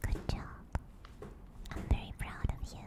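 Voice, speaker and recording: A woman hosting an ASMR livestream, speaking softly and soothingly in a gentle, deliberate manner close to a binaural microphone. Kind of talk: ASMR, soft whispered personal attention. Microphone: binaural ASMR microphone at close range.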